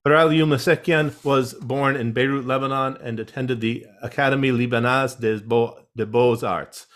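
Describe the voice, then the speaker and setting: A man moderating an online panel, reading guest introductions in a measured way with wry asides over a video call. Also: medium pitch, fairly even intonation, a bit bright.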